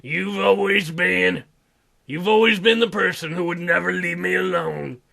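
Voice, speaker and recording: A man voicing a stubborn, hurting young man in a visual novel, slow, halting and dramatic. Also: high voice, fairly even intonation, vocal fry, sharp tone, exaggerated voice. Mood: wounded.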